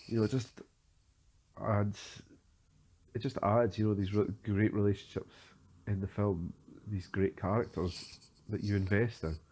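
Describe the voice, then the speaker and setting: Low-voiced hesitant man with a cold. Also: mellow, a little hiss or hum, low-quality recording. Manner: hesitant, many fillers and restarts. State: has a cold. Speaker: podcast host giving film commentary. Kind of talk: unscripted film commentary.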